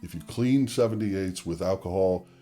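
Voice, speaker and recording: A man's voice: low-pitched, normal speed, dark tone, faint music behind. A man presenting a tutorial in a conversational, methodical way, explaining equipment step by step and addressing viewers directly. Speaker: presenter of a record-cleaning tutorial channel. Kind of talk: conversational product demonstration and explanation.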